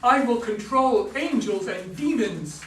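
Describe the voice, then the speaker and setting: A man's voice: sits high, lively intonation, a little slow, fairly bright tone, a little hiss or hum. A man playing a solemn, delusional young man who claims to be God's son, earnest and preachy, in a staged play reading. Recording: echoey room, distant microphone.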